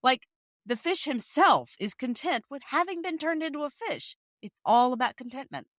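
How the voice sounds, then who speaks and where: A woman's voice: high-pitched, big pitch swings, normal speed, fairly bright tone, no room sound, clean studio sound. A woman podcast panelist giving her take in a casual discussion.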